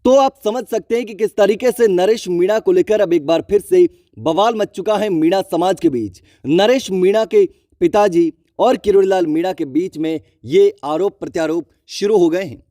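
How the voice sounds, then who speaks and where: A man's voice: sits high, moderate pace, hardly any pauses, dark tone, no echo, clean studio sound. A man narrating online news commentary, speaking emphatically to viewers with repeated phrasing.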